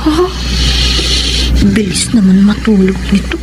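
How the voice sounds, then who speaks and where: An actress playing a hurt, betrayed young wife in a melodramatic Filipino radio drama. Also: female voice, mid-range voice, animated, measured pace, heavy background noise, close to an everyday voice. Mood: suspicious. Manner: emotional, melodramatic delivery. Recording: dramatic music under the voice.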